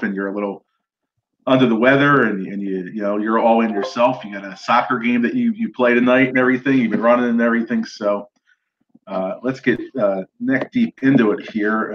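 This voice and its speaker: Live-stream host: upbeat, chatty man, lowish voice, muffled lo-fi audio. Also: slight background noise. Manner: upbeat, chatty, thanks viewers and asks them to share. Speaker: show host, recruiter. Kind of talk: casual live-stream interview.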